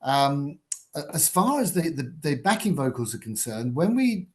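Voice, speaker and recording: An older man from Britain, a guitarist and producer, giving thoughtful, rambling interview answers in a fairly low voice with many fillers. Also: sing-song, moderate pace.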